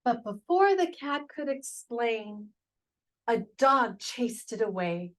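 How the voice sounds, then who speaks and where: Woman, storytime host, expressive picture book reading, higher-pitched voice, very animated intonation. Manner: animated, unhurried delivery. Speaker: children's storytime host, reader. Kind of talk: expressive picture book read-aloud for children.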